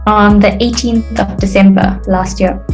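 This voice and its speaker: Brief: South African woman, 30s, friendly casual video-call chat, mid pitch, faint music under it. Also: steady tone, unhurried. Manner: friendly, chatty, with fillers. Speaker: participant.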